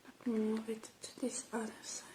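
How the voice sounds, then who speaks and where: A woman doing an ASMR roleplay as a repair technician, whispering softly with gentle pauses. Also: a little high, monotone, slight background noise.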